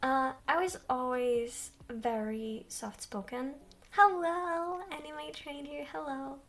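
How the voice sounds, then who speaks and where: A young woman chats with her stream in a soft, quiet, high voice. She talks slowly and rambles, filling pauses with ums. She sits some distance from the microphone. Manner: slow, rambling, many ums. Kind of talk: soft-spoken livestream chat. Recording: distant from mic.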